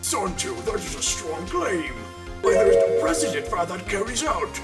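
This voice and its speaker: A man's voice: sits high, sing-song, normal speed, distant mic, loud music behind the voice. A man voicing a stern, ceremonious judge who speaks formally in a video game parody skit. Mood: solemn, stern. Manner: formal, pronouncing.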